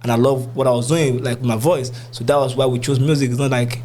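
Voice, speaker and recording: A man's voice: medium pitch, fairly even intonation, a little fast, darkish tone, a little hiss or hum. A young man with a Nigerian accent talking casually and colloquially as a musician in a podcast interview. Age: young adult. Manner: colloquial, uses "you know what I'm saying".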